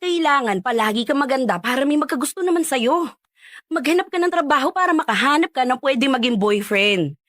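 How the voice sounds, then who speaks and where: A woman's voice: high-pitched, lively intonation, fairly quick, fairly bright tone, no room sound, clean studio sound. A young woman playing a nosy, frank, well-meaning friend in chatty radio drama dialogue, probing and giving blunt advice. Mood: pushy, concerned.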